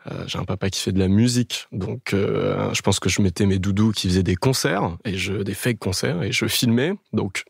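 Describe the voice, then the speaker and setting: A young man from the Paris area, a podcast guest chatting in a relaxed way with many hesitations. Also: on the low side, normal speed, gravelly, hardly any pauses, breathy edge, no echo, clean studio sound. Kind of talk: casual interview chat.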